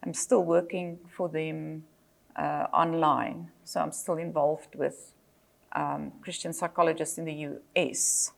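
Older South African woman, Afrikaans native; measured lecturer, fillers and restarts, slightly low voice. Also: flat delivery, vocal fry. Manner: measured, with frequent fillers and self-corrections. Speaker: psychologist and lecturer. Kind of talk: presentation lecture.

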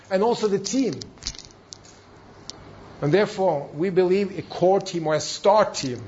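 A man with a Norwegian accent giving a seminar talk as a church planting trainer, in halting non-native English with emphatic repetition. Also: high-pitched, darkish tone, slight background noise. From Norway. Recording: dull, lo-fi sound.

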